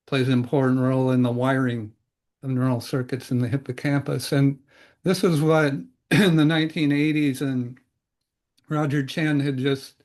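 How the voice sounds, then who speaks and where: A man's voice: medium pitch, darkish tone. Older male podcast host, rambling. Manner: rambling, loosely phrased questions. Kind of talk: conversational interview.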